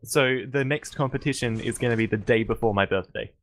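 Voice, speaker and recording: A young man around twenty, co-casting a stream, talking in relaxed, hesitant casual chat. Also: mid-range voice, fairly quick. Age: young adult. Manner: hesitant, relaxed, trails off with fillers. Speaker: co-caster.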